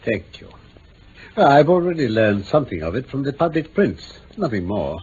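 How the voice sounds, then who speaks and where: A man's voice: mid-range voice, very animated, normal speed, a little mellow, close to an everyday voice. A shrewd English detective played by a male radio actor questions with cool courtesy and authority. His phrasing is formal and dryly ironic, with a very mobile melody. The vintage drama recording is dull, with a light hiss. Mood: probing. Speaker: actor in the lead detective role. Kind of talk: 1950s-60s BBC radio drama acting. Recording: muffled, hissy old radio recording.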